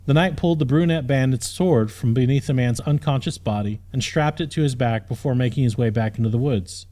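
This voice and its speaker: A man reading a fantasy audiobook as narrator, in an expressive, scripted storytelling style. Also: medium pitch, brisk.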